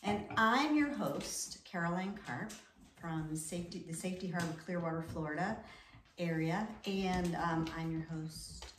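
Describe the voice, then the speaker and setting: A woman's voice: fairly low pitch, measured pace. A woman hosting a podcast, chatting in an upbeat, loose way. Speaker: podcast host. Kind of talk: casual podcast chat. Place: dining room in a home, at a large table. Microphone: far room camera. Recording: roomy and distant, with noise.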